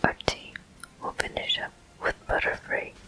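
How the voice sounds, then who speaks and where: A person whispering ASMR commentary over a video game walkthrough in a hushed, unhurried, explanatory way, pausing between short phrases. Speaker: gameplay host.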